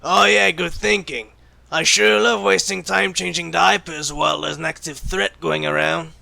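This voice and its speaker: A man's voice: a little high, fairly even intonation, moderate pace, creaky, pushed and bright, no room sound, heavily acted. A man playing a flippant, callous secret agent with a sardonic, teasing manner in an audio roleplay. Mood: smug, sarcastic. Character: callous, sarcastic male secret agent who jokes about killing. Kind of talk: ASMR roleplay acting.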